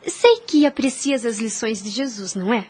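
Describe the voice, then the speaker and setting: A humble young governess played by a woman in a Brazilian radio play. Her voice is slightly high and husky, and she speaks with earnest, formal gentleness. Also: lively intonation, moderate pace. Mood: gently instructive. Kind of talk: audio drama acting.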